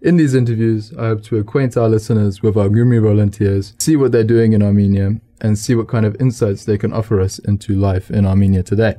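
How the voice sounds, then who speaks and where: A young man hosts a radio interview in a low, mellow voice. His delivery stays even and flat, polite and measured, with quiet affirming murmurs as his guest talks.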